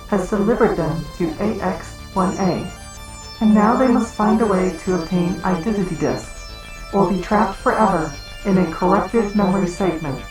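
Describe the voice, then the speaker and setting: Woman doing scripted recap narration, really slow, echoey and far off, music under it. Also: medium pitch, a little mellow. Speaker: episode narrator. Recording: echoing, distant voice.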